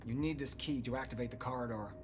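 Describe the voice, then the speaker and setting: An actor playing a driven, persuasive scientist in earnest, cinematic video game dialogue. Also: male voice, medium pitch, fast talker, background noise, lightly acted. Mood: eager, focused. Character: driven, persuasive male scientist friend, secretly nervous. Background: music.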